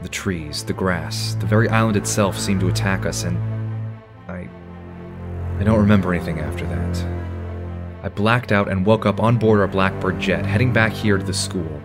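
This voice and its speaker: A man's voice: low voice, normal speed, over music, natural voice. An actor playing an earnest, stern young male superhero team leader in an audio drama, serious and commanding. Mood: somber, troubled.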